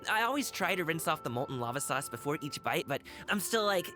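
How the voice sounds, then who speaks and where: A man voicing a friendly, energetic young wushu dancer and delivery guard in a video game, casual, slangy and easygoing. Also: fairly high pitch, fairly quick, faint music behind. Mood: chatty, relaxed. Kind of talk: video game character voice lines.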